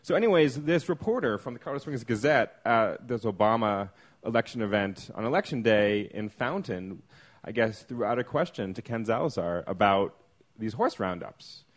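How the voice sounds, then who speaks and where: A man hosting a podcast, chatting in a relaxed, easygoing way with plenty of uh fillers, recorded inside a clock tower room. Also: fairly low pitch, moderate pace. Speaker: podcast host. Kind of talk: casual podcast chat.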